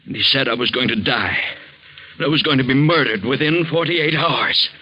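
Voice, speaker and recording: An actor playing a fearful husband shaken by a nightmare, in melodramatic 1940s radio style. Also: male voice, medium pitch, normal speed, natural voice. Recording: dull, muffled 1940s radio recording.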